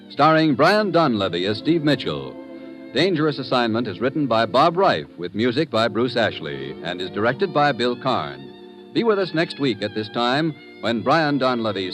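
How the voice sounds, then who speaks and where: Male American announcer, vintage radio promo read, mid pitch with big swings, emphatic, faint music underneath. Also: fairly bright tone. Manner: polished, emphatic.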